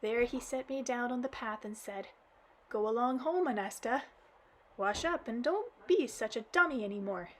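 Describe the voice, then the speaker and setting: A woman's voice: a little slow, slight background noise. A woman reading a storybook aloud and voicing a dramatic, tearful little girl who sobs out her complaints. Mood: sobbing. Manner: high, sobbing, wailing delivery.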